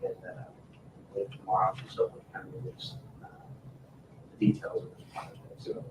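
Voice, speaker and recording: Man, town staffer at a meeting, halting and matter-of-fact; echoey, distant pickup. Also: low voice, completely flat delivery. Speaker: town staff member presenting agenda items. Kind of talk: informal presentation at a council meeting. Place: indoor town council meeting room. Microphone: distant room microphone. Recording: echoey meeting room, distant mic, faint noise.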